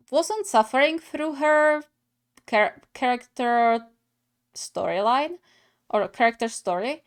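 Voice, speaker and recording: A woman hosting a gaming reaction video, commenting casually in an expressive, halting, giggly way. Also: fairly high pitch, clear, resonant tone. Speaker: reaction video host, gamer.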